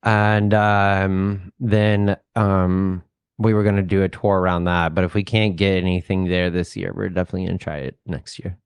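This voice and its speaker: Laid-back low-voiced musician man. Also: flat delivery, normal speed, clear, resonant tone, no room sound. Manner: loose, profane, rambling with lots of "like". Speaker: touring musician guest. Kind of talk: casual storytelling chat.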